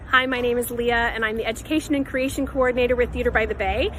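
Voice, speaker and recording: A woman's voice: fairly high pitch, steady tone, fast, a little hiss or hum. A woman giving announcements to an online audience as a theatre coordinator.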